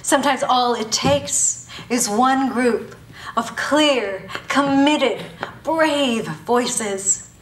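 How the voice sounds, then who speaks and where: A woman in her thirties from Bangladesh performs a spoken-word piece live, her slightly high voice pressing each listed word with emphatic, rhetorical pauses. She sounds set back from the microphone, and a roomy hall echo trails her lines. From Bangladesh, raised in Thailand. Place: university auditorium before an audience. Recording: echoey hall, voice from a distance.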